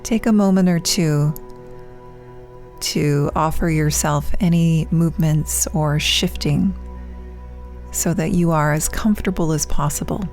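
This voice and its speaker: A woman leading a guided meditation as a yoga nidra teacher, speaking in hushed, soft phrases with long pauses, turning breathy at phrase ends. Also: sits low, faint music behind. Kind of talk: guided meditation, soothing instruction.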